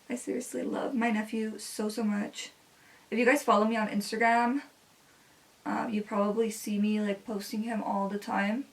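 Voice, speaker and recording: A woman's voice: medium pitch, flat delivery, moderate pace, creaky, long pauses, recorded from a distance, low-quality recording. A woman in her twenties, a native Spanish speaker, chatting casually while doing her makeup, with many "like" fillers. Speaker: beauty vlogger.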